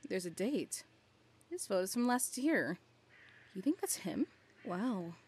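A woman playing a snarky, wary female police officer in an animated comedy, with a blunt, sarcastic manner. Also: mid-range voice, takes it slowly, slightly rough, exaggerated voice. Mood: quiet, suspicious.

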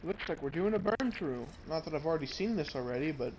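A man's voice: fairly high pitch, lively intonation, fast, a little mellow, slight background noise, poor audio. A man casually commenting as he plays a video game. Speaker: gamer commenting on gameplay. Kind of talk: casual chat.

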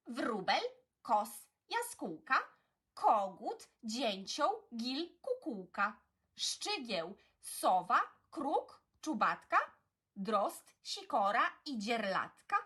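A woman narrating a children's poem in an expressive, theatrical style with deliberate phrasing. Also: on the high side, husky. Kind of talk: expressive children's poetry reading.

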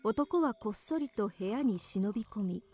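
Female narrator for a radio horror drama, higher-pitched, faint music behind. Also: expressive, measured pace, a little mellow.

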